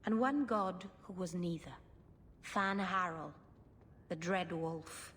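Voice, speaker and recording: A woman's voice: fairly low pitch, slow pace, fairly bright tone, slight background noise. An actress playing an earnest female elf storyteller telling myths in a fantasy game. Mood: solemn storytelling. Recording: long reverb, clear background ambience.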